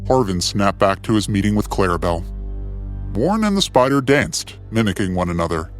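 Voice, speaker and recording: A man's voice: fairly low pitch, big pitch swings, fairly quick. A man narrating a scripted adventure story in a dramatic storytelling style. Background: soft music.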